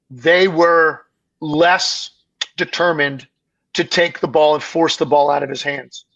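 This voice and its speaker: A male basketball analyst co-hosting a podcast, his voice strained and raspy from a heavy week of talking, breaking the game down emphatically. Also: a little high, pushed and bright, highs cut off. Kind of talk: casual sports podcast analysis.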